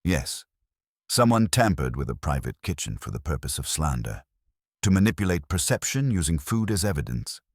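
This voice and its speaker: A man voicing a gruff, haughty, irritable genius detective in an audiobook, with clipped, pompous, formal speech. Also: very deep voice, very animated, moderate pace, no room sound, studio quality, exaggerated voice. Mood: solemn indignation.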